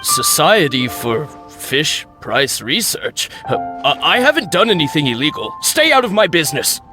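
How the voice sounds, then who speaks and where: A man's voice: a little high, big pitch swings, moderate pace, loud music behind the voice. A man playing a jittery, blunt and defensive fishmonger in a video game. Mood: nervous, defensive. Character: defensive, jittery male fishmonger, grudgingly kind. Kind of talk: video game character acting.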